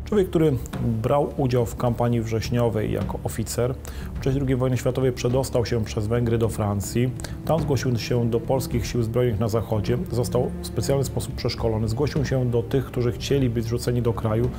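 A man's voice: medium pitch, steady tone, moderate pace. A man explaining things as an expert in a documentary interview. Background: music.

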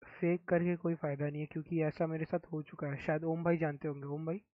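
Young man with a hoarse, quiet voice. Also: fairly high pitch, little pitch movement, fast, no room sound, phone-quality sound. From India. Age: young adult. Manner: speaks softly, mic-checking. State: hoarse, sore throat. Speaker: game streamer. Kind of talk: casual live-stream chat.

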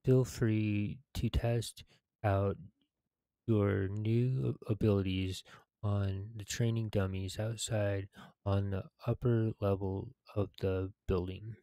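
A man in his thirties reading game quest text aloud in a deliberate, halting way. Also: lowish voice, level tone, mellow, dry recording.

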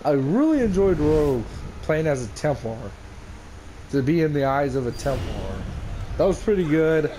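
A man's voice: a little high, big pitch swings, moderate pace, dark tone. Man rambling over gameplay, noisy headset. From United States. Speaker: gamer recording a let's play. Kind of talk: casual rambling game commentary. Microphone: gaming headset. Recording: noisy headset mic, game ambience. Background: video game ambience.

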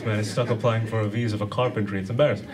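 A man in his thirties from Sri Lanka doing stand-up with a hesitant, deadpan delivery. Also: lowish voice, very fast, over a noisy crowd. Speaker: stand-up comedian. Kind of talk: stand-up comedy monologue. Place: small dark comedy club stage. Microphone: handheld stage microphone. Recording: distant, very noisy live room.

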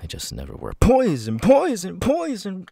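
A man playing a nervous, grateful young outcast with vocal tics in an ASMR roleplay, speaking haltingly and repeating words. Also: huge pitch swings, creaky, over music, exaggerated voice. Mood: tic outburst. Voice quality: very deep voice with stuttering, sudden high-pitched tic outbursts.

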